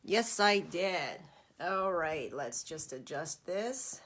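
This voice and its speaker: A woman's voice: on the low side, expressive, slow pace, a bit bright. A middle-aged woman, nasal and congested from a cold, chatting casually with many ums during a home craft live stream, recorded on a tablet camera. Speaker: stamping craft demonstrator. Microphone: phone or tablet camera.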